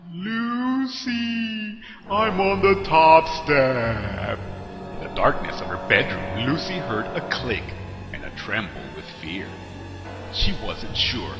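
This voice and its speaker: A man narrating a scripted scary story in a dramatic, suspenseful storytelling style. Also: medium pitch. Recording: echoey long reverb, music behind. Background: clear background music.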